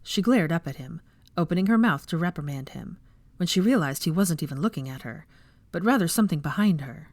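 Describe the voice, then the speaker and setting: A woman reading fan fiction aloud as narrator, in expressive scripted storytelling. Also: on the low side, a little fast, no room sound.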